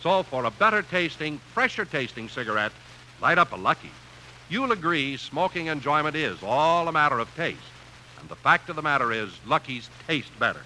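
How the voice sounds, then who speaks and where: An American male announcer with a very bright, forceful, highish voice. He sells with lively, emphatic swings in the style of 1950s radio, over faint recording noise. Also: normal speed. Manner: emphatic, polished sales delivery. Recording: faintly noisy old radio broadcast.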